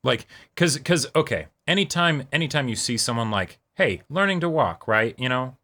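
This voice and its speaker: A man's voice: mid-range voice, lively intonation, normal speed, bright, forceful tone. A man chatting casually as a show co-host, enthusiastic and rambling, often checking for agreement as he goes. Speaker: co-host of an anime reaction show. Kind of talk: casual conversational discussion.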